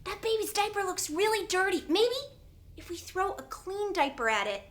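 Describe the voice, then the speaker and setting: A woman's voice: very high-pitched, sing-song, moderate pace, voice pushed up. A woman voicing an earnest, helpful little preschool boy in a children's story.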